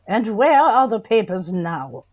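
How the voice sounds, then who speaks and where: A formal probing inquest official in a radio play, animated voice, bit muffled. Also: female voice, mid-range voice, measured pace. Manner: authoritative, formal. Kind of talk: radio drama acting.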